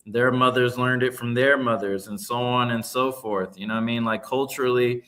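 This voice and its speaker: Man from Colombia, casual rambler, forceful bright voice. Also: medium pitch, fairly even intonation, moderate pace. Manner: rambling, lots of "like" and "you know". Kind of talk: casual opinion chat.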